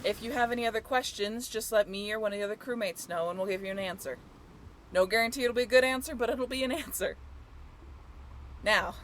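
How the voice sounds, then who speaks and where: A woman's voice: medium pitch, slight background noise, natural voice. A young woman playing a friendly, teasing sailing-ship crew member in an amateur audio roleplay, chatty and informal with a joking streak. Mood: cheerful, announcing. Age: young adult.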